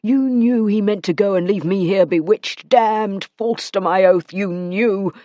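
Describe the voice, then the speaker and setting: A woman's voice: medium pitch, no room sound, highs cut off, natural voice. A woman doing a man in a cold, hissing frenzy. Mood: cold fury. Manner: intense, hissing, slow and forceful.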